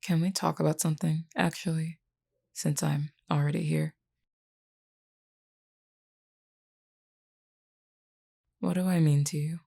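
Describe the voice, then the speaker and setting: A self-assured werewolf woman, voiced by an actress in an intimate roleplay. Her voice sits very low, with heavy gravel and a dark, mellow tone. She is flirty and blunt, teasing the listener directly. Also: steady tone, full character voice. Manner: teasing, blunt, unhurried, uses pet name "hun". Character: flirty, defiant adult female werewolf, wounded but self-assured.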